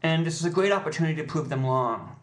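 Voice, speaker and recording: Male, American, somewhat higher voice; earnest, pleading vlogger delivering a heartfelt appeal with halting pauses. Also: animated. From United States. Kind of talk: impassioned personal appeal to camera.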